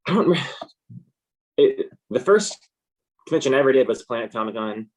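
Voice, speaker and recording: A man's voice: medium pitch, expressive, brisk. An American man talking casually and informally as an interview guest, in a laid-back way. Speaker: interview guest, comic artist.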